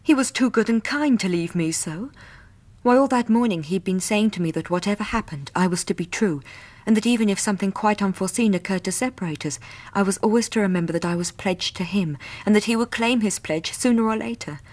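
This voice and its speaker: A woman's voice: mid-range voice, fairly quick, a little mellow, close to an everyday voice. An actress playing a devoted, anxious young Victorian lady with an English accent, earnest and polite in a radio drama. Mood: earnest recounting. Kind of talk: period radio drama acting.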